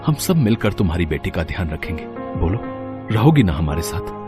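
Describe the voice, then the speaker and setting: A man acts out a wealthy, grieving father in an audio moral story. His voice is low and swings widely in pitch as he pleads earnestly and dramatically. Music plays underneath. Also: sing-song, brisk. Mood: relieved pleading. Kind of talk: acted dialogue in a Hindi audio moral story.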